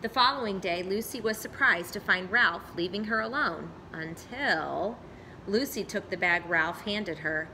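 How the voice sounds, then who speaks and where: A woman storyteller reading a children's story aloud in an expressive narrating style. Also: medium pitch, measured pace, slight background noise, poor audio.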